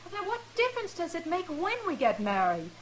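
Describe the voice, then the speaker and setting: A woman's voice: very high voice, huge pitch swings, a little fast, exaggerated voice. An actress playing a headstrong, defiant young frontier woman in an old radio western, full of emotion. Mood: defiant, insistent. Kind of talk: old-time radio western drama acting. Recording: noisy vintage radio recording.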